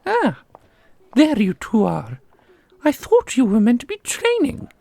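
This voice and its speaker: A woman playing an ancient custodian and combat mentor in an ASMR roleplay, doting and sharply sarcastic, with a husky, creaky voice. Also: fairly high pitch, wildly swooping pitch, a little slow, mellow, dry recording, exaggerated voice. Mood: doting, instructive. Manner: theatrical, teasing, instructive. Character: millennia-old custodian mentor, doting on pupil, witheringly sarcastic.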